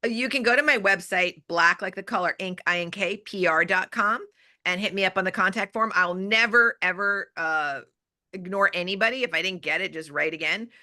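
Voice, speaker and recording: Lively pr lady on a podcast, bright voice, keeps repeating her points. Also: mid-range voice, brisk. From Los Angeles area, US. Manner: energetic, emphatic, repeats key phrases. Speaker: guest, PR and marketing coach. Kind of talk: casual interview chat.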